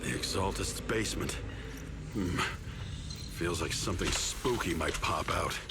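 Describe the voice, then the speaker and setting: A man voicing a good-natured fighter companion in an anime video game, expressive and amiable. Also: low-pitched, measured pace, heavy background noise. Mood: uneasy. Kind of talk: animated video game voice acting. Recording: loud game music behind. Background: game music.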